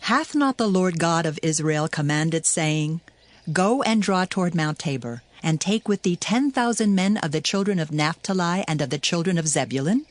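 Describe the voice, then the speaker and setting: A woman playing a resolute biblical prophetess and judge in a solemn, declamatory scripture drama. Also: low-pitched.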